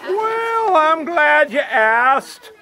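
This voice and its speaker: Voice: high-pitched, big pitch swings, very slow, creaky, bright, forceful tone, slight background noise, full character voice. A puppeteer voicing a cheerful train conductor in a children's puppet show, talking to the kids in an explanatory, sing-song way. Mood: explaining, excited.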